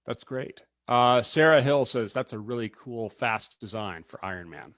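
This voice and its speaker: A man's voice: medium pitch, lively intonation, moderate pace, fairly bright tone, no echo. A man chatting casually as a webinar host who passes on comments from viewers. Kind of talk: casual chat.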